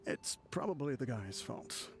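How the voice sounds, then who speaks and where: A man's voice: medium pitch, expressive, measured pace. An actor playing an ailing, boastful old rogue of a father, self-mocking as he voices his letter in a video game. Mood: wry, fond. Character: ailing, boastful, roguish desert mercenary father.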